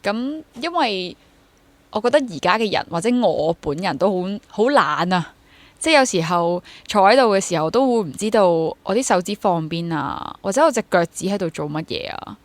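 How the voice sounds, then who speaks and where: A woman's voice: medium pitch, lively intonation, normal speed, no room sound. A young woman with a Hong Kong Cantonese accent chatting casually as an interview guest, mixing in English words. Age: young adult. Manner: code-switches into English words. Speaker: interview guest, theatre actor and drama teacher.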